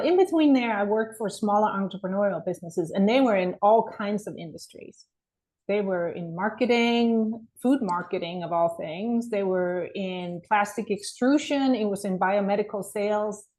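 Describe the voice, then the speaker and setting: A woman's voice: mid-range voice, moderate pace, darkish tone. A middle-aged woman from Denmark, a mindset coach, telling her story earnestly as a podcast guest. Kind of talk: podcast interview.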